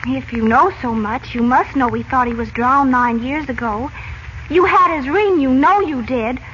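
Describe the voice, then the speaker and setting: A woman's voice: sits high, normal speed, a bit bright. An actress playing an eager, naive American teenage girl, anxious about her father, breathless and earnest, in 1940s radio drama style. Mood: anxious, insistent. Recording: muffled, noisy old radio recording.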